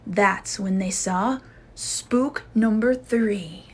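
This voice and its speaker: A woman reading a children's storybook aloud in an expressive, suspenseful storytelling manner. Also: medium pitch, very slow, breathy edge.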